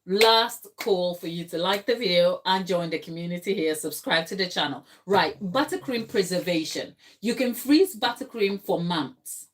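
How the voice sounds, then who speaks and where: A woman from Ghana hosting a live cake tutorial from her kitchen, explaining steps and answering viewers in the chat. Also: mid-range voice. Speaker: baking channel host. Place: home kitchen.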